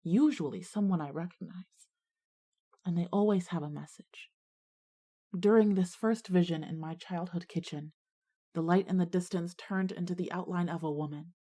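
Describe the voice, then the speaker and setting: A woman acting as an earnest schoolgirl visionary, narrating her own ghost story in a measured way for an audio drama. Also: on the low side, dark tone, close to an everyday voice. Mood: solemn, hushed. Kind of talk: scripted first-person dramatic narration.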